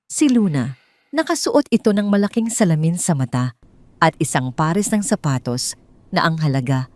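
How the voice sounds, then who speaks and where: A Filipino woman narrates a scripted drama story. Her voice sits a little lower than most women's, and she reads as a storyteller would, in full composed sentences. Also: a little mellow, dry recording.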